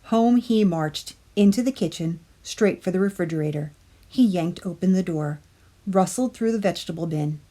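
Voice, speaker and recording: A woman reading a children's story aloud as a storytime narrator, with dramatic pauses and drawn-out key words. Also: on the low side, dark tone. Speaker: library storytime reader. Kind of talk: expressive children's picture-book narration.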